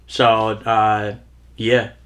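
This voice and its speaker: A man's voice: lowish voice, flat delivery, drawn-out words, a bit bright, a little hiss or hum. A man in his early twenties, a musician guest, talking in a laid-back, easygoing way and thinking aloud. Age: 20s. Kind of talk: casual chat.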